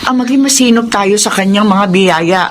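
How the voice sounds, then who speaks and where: A Filipino-accented man playing a gentle, pious, forgiving older parent of grown children in a radio drama. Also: sits high, moderate pace, slight background noise. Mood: gently admonishing. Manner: earnest, admonishing, soft-hearted.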